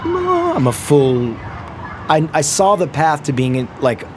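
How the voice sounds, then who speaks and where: A man in his thirties, a musician, telling stories in a rambling, animated way in casual conversation, laughing often. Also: on the high side, unhurried, crowd noise, noisy background. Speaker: musician and podcast guest.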